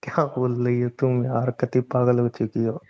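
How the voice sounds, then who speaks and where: A man's voice: mid-range voice, completely flat delivery, normal speed, a little mellow, close to an everyday voice. A young man playing a teasing, boastful newlywed husband in casual, bantering audio-drama dialogue. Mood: mock exasperated. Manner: informal, bantering. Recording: dull lo-fi phone-call style audio.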